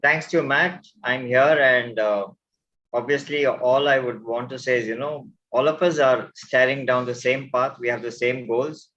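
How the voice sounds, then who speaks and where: A man's voice: mid-range voice, steady tone, normal speed, pushed and bright. Polite man on muffled call audio. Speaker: panel guest. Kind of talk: video call discussion. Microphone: video call.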